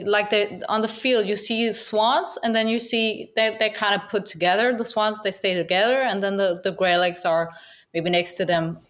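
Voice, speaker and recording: A woman's voice: fairly low pitch, fairly bright tone. A Danish woman, a native Danish speaker, chatting casually as a guest about goose hunting, with many restarts and fillers and frequent laughs. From Denmark. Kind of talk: casual interview chat.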